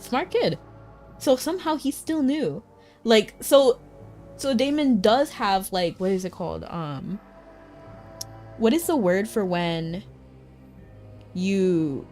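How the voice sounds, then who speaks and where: A woman's voice: medium pitch, lively intonation, takes it slowly, long pauses, a little mellow. Female streamer, mid-range voice, casual slangy game commentary, playful and reactive, light music underneath. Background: faint game music.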